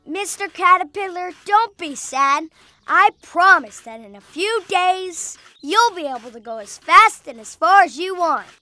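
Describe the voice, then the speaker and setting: A woman voicing a kindly, reassuring animal friend who explains gently in a children's cartoon. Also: very high voice, animated, a little slow, soft background music, exaggerated voice.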